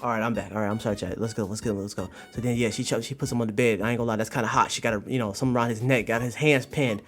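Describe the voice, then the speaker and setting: A man's voice: on the low side, fairly even intonation, rapid-fire, a bit bright. A young American man giving casual, playful livestream commentary to his chat, telling stories in a slangy, exaggerated way. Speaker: streamer and content creator. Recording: music behind the voice. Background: music.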